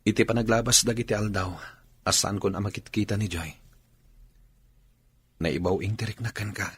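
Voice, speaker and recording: A man acting a lovelorn young suitor, earnest and pleading, with a rough voice in a radio drama. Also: on the low side, steady tone, normal speed, dry recording. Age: young adult. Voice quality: rough, husky.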